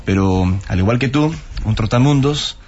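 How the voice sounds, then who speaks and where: A Peruvian man in his thirties, a radio guest giving reflective, measured answers in a studio interview. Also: mid-range voice, lively intonation, darkish tone, breathy edge. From Peru. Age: 30s. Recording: slightly dull broadcast audio.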